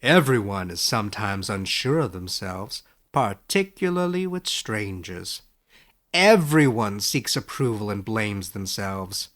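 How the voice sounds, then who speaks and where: A man playing a skeptical but kindly professor in a storybook, with a dry, measured, gently lecturing manner. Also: fairly low pitch, big pitch swings. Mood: amused, wry. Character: wry, skeptical, kindly male professor, unhurried and quick-witted. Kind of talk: storybook character voice in audiobook narration.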